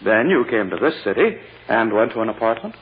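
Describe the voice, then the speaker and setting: An actor playing a pompous, impatient prosecutor questioning a child in a condescending, formal way in a 1940s radio drama. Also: male voice, fairly low pitch, big pitch swings, brisk, slight background noise. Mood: patronizing. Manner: coaxing, condescending, formal courtroom address. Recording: old radio recording, muffled, long hall reverb.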